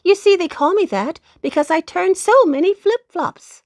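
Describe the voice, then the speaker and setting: A woman's voice: very high voice, lively intonation, moderate pace, darkish tone, dry recording, voice pushed up. A woman voicing a small, friendly male circus poodle with an eager, exclamatory manner in a children's story. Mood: chatty.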